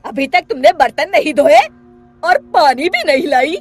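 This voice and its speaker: A woman playing a harsh but easily cowed stepmother in a sharp, nagging, theatrical manner. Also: high-pitched, a little slow, faint music behind. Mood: scolding.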